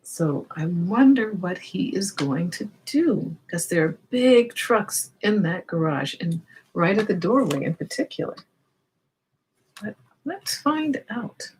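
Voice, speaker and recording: A woman's voice: on the low side, sing-song, slow pace, darkish tone, slight background noise, low-quality recording. A woman reading a children's story aloud, expressively, with explanatory asides and questions for young listeners.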